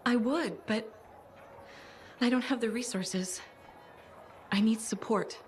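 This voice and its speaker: A woman playing a polite, hopeful fruit seller, gentle and earnest, in a video game. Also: on the high side, slow pace, soft background music. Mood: hopeful, grateful. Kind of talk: video game dialogue acting.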